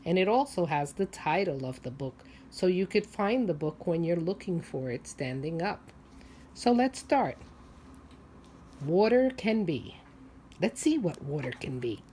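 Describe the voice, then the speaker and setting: Lady preschool teacher doing a storytime read aloud, lowish voice, really slow, asks the kids questions, stretches key words. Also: very animated, mellow, slight background noise. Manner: simple explaining, questioning, drawn-out emphasis on key words. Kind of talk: picture-book read-aloud for young children, asking questions.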